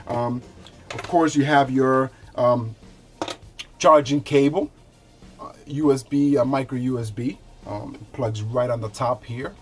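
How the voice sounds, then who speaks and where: A man's voice: mid-range voice, steady tone, measured pace, faint music behind. A man hosting a casual product review, talking conversationally to viewers and repeating himself loosely as he demonstrates items. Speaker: product reviewer, show host.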